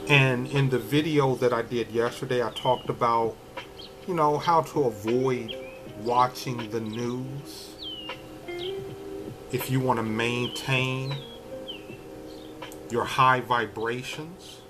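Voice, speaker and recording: A man in his fifties with a soothing voice, vlogging casually from a hotel room, talking slowly with many restarts. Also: medium pitch. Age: 50s. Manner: slow, repetitive, many restarts and fillers. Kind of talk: casual vlog chat. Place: extended stay hotel room.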